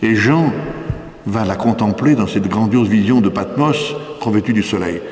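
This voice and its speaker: A man's voice: fairly low pitch, normal speed, darkish tone, faint music behind. An older man with an accent from France, a priest giving a didactic, emphatic sermon into a stand microphone in a church. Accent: French from France. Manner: didactic, rhetorical questions, emphatic repetition. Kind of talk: spoken sermon, teaching a congregation. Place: inside a church, before an altar. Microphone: microphone on a stand, close to the mouth. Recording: echoey church with long reverb.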